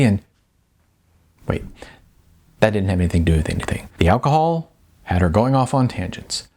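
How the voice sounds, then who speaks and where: A man reading aloud from his own novel in an expressive, wry storytelling voice. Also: mid-range voice. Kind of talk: audiobook-style reading.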